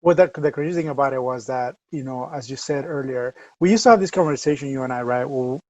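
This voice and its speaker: A man's voice: medium pitch, fast talker, a little mellow, dry recording, highs cut off. A man with a Salvadoran accent co-hosting a podcast, chatting easygoing and rambling with lots of fillers. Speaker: podcast co-host. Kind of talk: casual chat.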